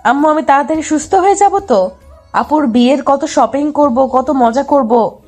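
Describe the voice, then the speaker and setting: Casting: actress as pouty young daughter in love, high-pitched, insistent, romantic audio drama, music underneath. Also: female voice, normal speed, darkish tone, natural voice. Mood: cheerful, defensive. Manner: insistent, childish pleading. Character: headstrong lovesick young woman, pouty injured daughter. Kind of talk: dramatised love story narration. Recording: music behind the voice.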